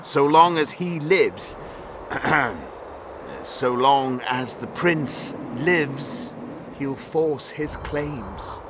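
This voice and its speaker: An actor playing an obsequious, greedy middle-aged count in a video game, speaking in a formal, deferential way. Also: male voice, mid-range voice, animated, extremely slow, noisy background. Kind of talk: dramatic video game acting.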